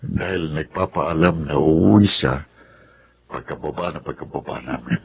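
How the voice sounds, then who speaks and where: An actor with a Filipino accent playing a grave, sympathetic military officer with a deep gravelly voice in a radio drama. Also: male voice, normal speed, lo-fi sound, full character voice. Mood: solemn, sympathetic.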